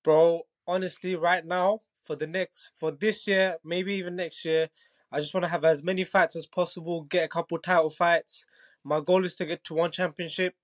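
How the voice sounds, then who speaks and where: A man's voice: on the high side, steady tone, normal speed. A man in his twenties, a fighter from Bangladesh, chatting casually as an interview guest and often asking "you know what I mean". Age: 20s. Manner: laid-back, repeats "do you know what I mean", laughs easily. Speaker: Muay Thai fighter, guest.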